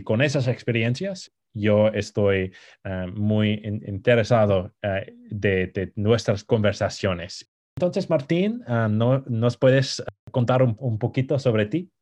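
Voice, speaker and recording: A man in his twenties with an American accent, co-hosting a podcast in Spanish as a second language, pausing often with fillers and restarts. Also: on the low side, a little mellow. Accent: American English. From United States. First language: English. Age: 20s. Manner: hesitant, many fillers and restarts. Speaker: podcast co-host. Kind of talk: casual podcast introduction.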